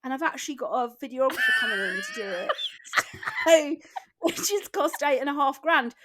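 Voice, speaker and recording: A woman in her thirties co-hosting a casual chat podcast, animated, laughing often and swearing freely. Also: sits high, moderate pace, heavy background noise. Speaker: podcast co-host.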